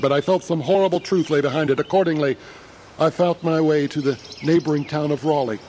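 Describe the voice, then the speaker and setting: A man's voice: mid-range voice, mellow, lightly acted. An actor playing a haunted traveler who recounts his escape in solemn, intense, literary horror narration for audio theatre. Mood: subdued, grave. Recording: clearly noisy, ambient sound behind voice.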